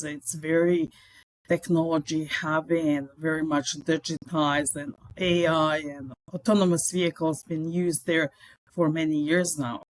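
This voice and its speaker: A woman's voice: low voice, no echo, poor audio. A middle-aged woman from Serbia with a Serbian accent, speaking English as a second language and talking earnestly as a guest in a podcast interview. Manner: earnest, enthusiastic, with non-native phrasing. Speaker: mining executive guest.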